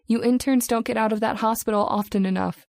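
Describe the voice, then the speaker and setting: A woman playing an affectionate young fiancée in a radio drama, gentle and playful. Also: a little high, steady tone, a little fast, creaky, no echo, clean studio sound. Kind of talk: old-time radio drama acting.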